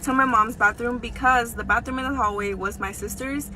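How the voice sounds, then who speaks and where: Young woman storyteller over clear background noise. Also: a little high, fairly even intonation, a little fast, sharp tone, poor audio. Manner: frequent profanity, slangy and conversational. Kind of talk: casual personal storytime for social video.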